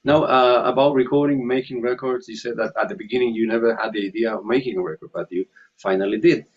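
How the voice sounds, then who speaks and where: A man's voice: medium pitch, steady tone, fast talker. A man hosting an interview, asking questions and often murmuring short agreements. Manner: frequent short affirmations, searching phrasing. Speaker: interviewer, host. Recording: gravelly muffled lo-fi audio.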